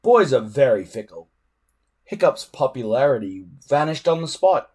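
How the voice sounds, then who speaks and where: A man reads a children's story aloud with dramatic flair. His mid-pitched voice swings widely and expressively from phrase to phrase. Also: normal speed, husky. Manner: dramatic, animated. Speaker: storybook reader.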